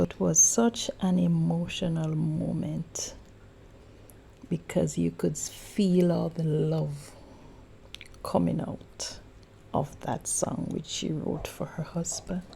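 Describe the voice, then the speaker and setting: A woman's voice: on the low side, flat delivery, takes it slowly, vocal fry, dark tone, a little hiss or hum. A woman narrating a vlog story in a half-whispered, encouraging way. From Jamaica. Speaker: vlog host. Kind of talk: scripted narration.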